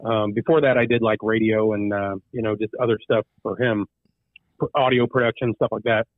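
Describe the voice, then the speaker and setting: A man's voice: fairly low pitch, steady tone, brisk, no room sound. Man rambling over a tinny phone line. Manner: rambling, many ums and restarts. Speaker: guest, haunted attraction owner. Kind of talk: phone interview answers. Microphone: phone call.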